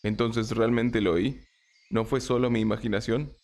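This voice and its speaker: A man's voice: on the low side, fairly even intonation, normal speed, a little mellow, lightly acted. A man playing a bewildered, earnest knight in an audiobook, with a questioning manner. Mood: curious, earnest. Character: puzzled, earnest male knight in rusty armor. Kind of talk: audiobook character acting.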